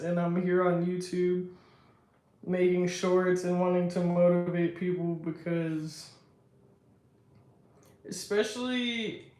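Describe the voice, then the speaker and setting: Young woman, deep strong voice, slow and reflective, self-assured, echoey room. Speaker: singer and live streamer. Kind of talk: casual live-stream talk.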